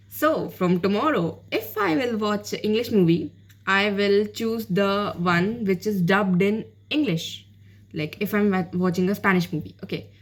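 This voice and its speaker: A woman with an Indian accent whose first language is Hindi, giving casual spoken learning tips as a channel host in a rambling way full of fillers, slipping between English and Hindi. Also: mid-range voice. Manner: rambling, with many fillers and restarts.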